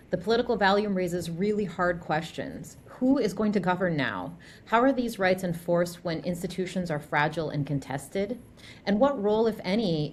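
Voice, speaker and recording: Low-voiced woman reading a podcast intro. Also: a little hiss or hum. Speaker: podcast host. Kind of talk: scripted narration.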